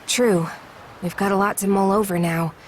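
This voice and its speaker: An actress playing a brisk, encouraging young woman in a video game, with an upbeat, businesslike manner. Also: medium pitch, fairly even intonation, a little hiss or hum, lightly acted. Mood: earnest, brisk. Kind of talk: scripted video game acting.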